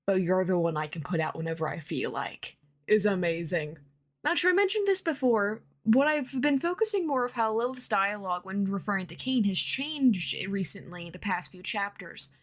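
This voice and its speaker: A woman with a mid-range voice records a listener message. She gives fan commentary in an earnest, enthusiastic way. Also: medium pitch. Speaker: podcast listener sending voice message.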